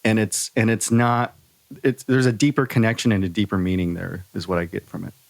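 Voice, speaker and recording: A man co-hosting a podcast, chatting casually with halting, thoughtful phrasing. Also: fairly low pitch, level tone, vocal fry, no echo. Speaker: podcast co-host. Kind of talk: casual chat.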